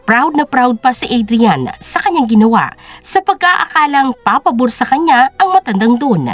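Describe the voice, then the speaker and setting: A woman's voice: sits high, very animated, normal speed, faint music behind. A woman narrating a scripted story as a dramatic audio drama.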